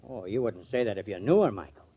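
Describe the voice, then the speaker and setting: An actor playing a glib, smitten, self-important small-time press agent in a 1950s radio drama. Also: male voice, on the low side, expressive, quick pace, husky. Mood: smitten, smug. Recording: dull lo-fi old radio recording.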